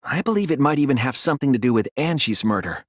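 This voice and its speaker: A man voicing an earnest, thoughtful teenage boy detective in an English video game dub, reasoning deliberately through his lines. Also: medium pitch, lively intonation, dry recording, studio quality, natural voice.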